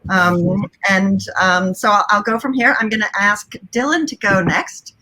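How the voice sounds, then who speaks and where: Woman from the Bahamas, bright clear voice, relaxed panel moderator on a video call. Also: medium pitch, a little slow, poor audio. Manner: relaxed, many fillers. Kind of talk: casual panel introductions. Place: small bedroom.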